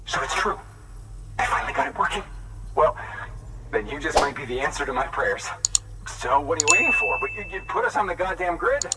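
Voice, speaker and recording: An actor playing a weary, excitable way station keeper in a video game cutscene, rambling and emotional in colloquial speech. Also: male voice, a little high, fairly quick, pauses often, recorded from a distance, very noisy. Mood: astonished hope.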